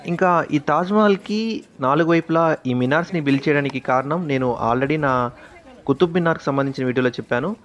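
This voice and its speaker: A man's voice: a little high, fairly quick, highs cut off. A young man with a Telugu accent narrating a travel vlog in an informative way, stopping often on "aa" fillers and mixing in English words. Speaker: travel vlogger.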